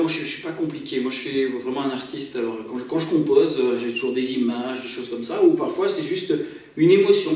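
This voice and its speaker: A man's voice: mid-range voice, darkish tone. A man from France, a pastor and guitarist, talking casually to a church gathering, chatty and self-deprecating with many hesitations. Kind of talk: informal church talk introducing music. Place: church hall. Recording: very echoey hall, far from the mic.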